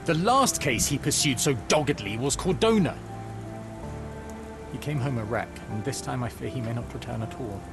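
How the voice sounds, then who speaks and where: An actor playing an aloof, authoritative Victorian gentleman in a video game, British, with clipped, formal, commanding speech. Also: medium pitch, expressive, normal speed, music in the background. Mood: worried. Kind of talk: video game cutscene acting. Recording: clear background ambient noise.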